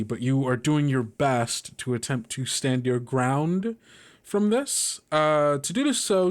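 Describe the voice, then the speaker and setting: A man acting as game master, mixing improvised narration with informal table talk and talking the rules through as he goes. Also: mid-range voice.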